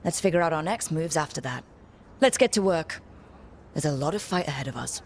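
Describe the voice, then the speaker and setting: Steady, resolute team leader. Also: female voice, low-pitched, a little fast, creaky, a little hiss or hum. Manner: firm, rallying. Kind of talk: fantasy video game voice acting.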